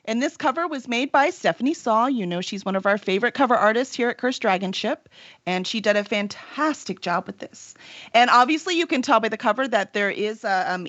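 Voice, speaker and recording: A woman's voice: fairly high pitch, a bit bright, no echo, dull audio. A woman hosting a casual interview as a book publisher, speaking in an effusive, chatty manner. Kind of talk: casual interview, cover reveal.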